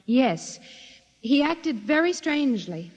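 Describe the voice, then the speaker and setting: A woman's voice: high voice, big pitch swings, slow, full character voice. A woman playing an earnest secretary giving testimony in a 1950s radio drama. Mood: earnest, tense. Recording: reverberant hall, old radio drama.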